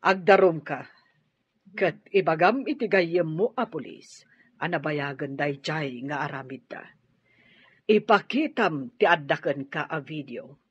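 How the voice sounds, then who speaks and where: A woman's voice: low-pitched, lively intonation, measured pace, husky, dry recording, full character voice. An actress playing a protective, stern middle-aged mother in a radio drama, giving firm advice. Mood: stern, indignant. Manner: firm, advising. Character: protective, stern mother urging her daughter to retaliate. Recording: dull lo-fi, dry radio drama audio.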